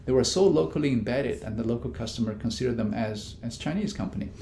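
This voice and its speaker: A man, a business professor, giving measured answers in an interview with frequent fillers and restarts. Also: vocal fry, warm tone. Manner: many fillers and restarts, non-native grammar; measured, explanatory. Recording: echoey room with faint rumble.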